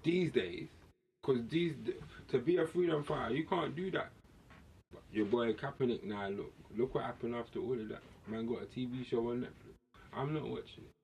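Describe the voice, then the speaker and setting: A man's voice: medium pitch, moderate pace, vocal fry, a little mellow. Man, casual podcast debate, slangy and outspoken, noisy background. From West Indian heritage. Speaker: podcast host. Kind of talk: casual heated discussion.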